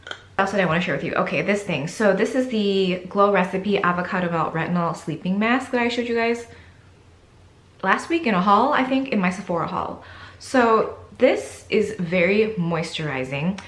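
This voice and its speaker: A slightly low-voiced young woman chats casually to her camera, dropping "like" all through her sentences. Her voice sounds a little congested. The room around her is echoey. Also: fairly bright tone. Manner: chatty, frequent "like" fillers. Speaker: vlogger.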